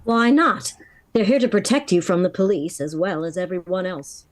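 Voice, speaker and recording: An actress playing an earnest, protective sister in a vintage radio drama. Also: female voice, fairly low pitch, lively intonation, normal speed, lightly acted. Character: earnest, protective sister of a reckless young man.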